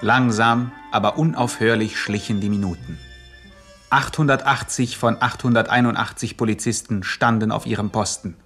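A man's voice: fairly low pitch, normal speed, fairly bright tone, slightly rough, faint music behind. A man narrating a satirical gangster radio play with scripted, mock-grave suspense.

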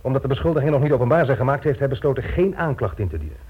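A man's voice: medium pitch, lively intonation, racing, husky. A man playing a smug, sardonic, unruffled character in a Dutch radio drama. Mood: cool, sardonic. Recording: muffled narrow-band old radio recording.

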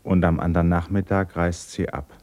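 A man narrating a literary radio drama in a solemn, measured storytelling manner. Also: deep voice, little pitch movement, darkish tone.

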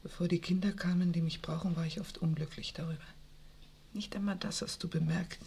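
A soft-spoken hopeful young wife. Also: female voice, fairly low pitch, fairly even intonation, fairly quick, vocal fry, breathy edge, exaggerated voice. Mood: whispering. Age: young adult. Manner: soft, pleading, often whispering. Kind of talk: 1960s radio drama acting.

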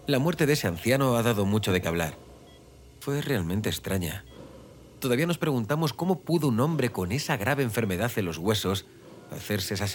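A man's voice: fairly low pitch, close to an everyday voice. A man playing an earnest, regretful police officer in a horror audio drama. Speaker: voice actor in audio fiction.

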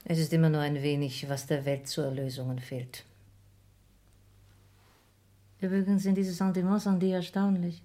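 A woman's voice: low voice, little pitch movement, a little fast, warm tone, natural voice. A woman acting in a German radio play as a cool, hard-headed grown daughter in the family business, arguing in formal stage diction. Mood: resolute.

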